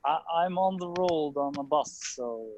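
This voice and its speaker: A man calling into a group video chat from a bus on the road, talking haltingly with many fillers. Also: a little high, a little mellow, dull audio, a little hiss or hum. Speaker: discussion group member. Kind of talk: casual video call chat. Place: on a bus while traveling.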